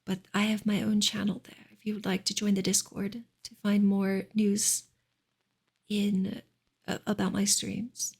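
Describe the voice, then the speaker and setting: A woman speaks in a soft ASMR manner, gentle and close to a whisper. Her voice is extremely breathy with a creaky edge, and her intonation stays quite flat at a medium pitch. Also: unhurried. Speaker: ASMR streamer and reader. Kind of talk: soft-spoken ASMR book reading and chat.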